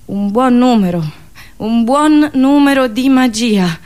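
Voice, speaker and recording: A woman radio host delivering a theatrical, satirical monologue with ironic, deliberate phrasing and repetitions. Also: fairly high pitch, animated, a little mellow.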